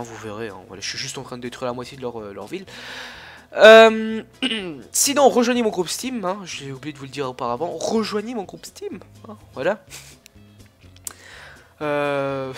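A man's voice: fairly high pitch, fairly bright tone. A young man with a cold who coughs now and then, chatting casually and rambling through his commentary on a strategy game, full of fillers and restarts. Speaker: gaming YouTuber.

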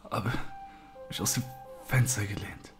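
A man's voice: lowish voice, steady tone, unhurried, over music. A man voicing an injured grown son who mumbles weakly with his last strength. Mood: faint, pained. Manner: weak, mumbling.

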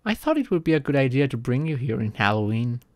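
A man's voice: medium pitch, sing-song, fast talker, darkish tone, dry recording. A man in a casual roleplay chat, speaking in a playful, teasing manner.

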